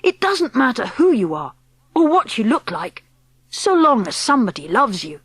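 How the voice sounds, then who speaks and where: A woman voicing a young boy who has been turned into a mouse, eager and anxious, pleading and excitable. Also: fairly high pitch, normal speed, breathy edge, no room sound, exaggerated voice.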